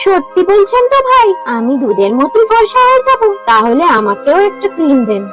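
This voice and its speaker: Cartoon village wife female actor: proud, quarrelsome, whiny and dramatic, high register with very animated intonation. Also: a little fast, over loud music. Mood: eager, surprised. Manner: whiny, haughty, dramatic complaining. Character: vain, quarrelsome young village wife, proud of her beauty. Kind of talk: exaggerated cartoon dialogue.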